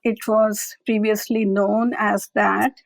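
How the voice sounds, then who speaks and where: A woman's voice: mid-range voice, little pitch movement, slow pace, creaky, no room sound. A woman scientist from a public health lab, explaining in a plain-spoken, matter-of-fact way during an interview.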